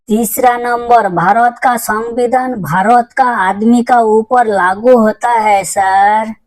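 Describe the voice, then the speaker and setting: A woman voicing a dim but earnest schoolboy in a comedy cartoon, answering in a deliberate, reciting manner. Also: on the high side, rough recording. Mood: earnest, eager.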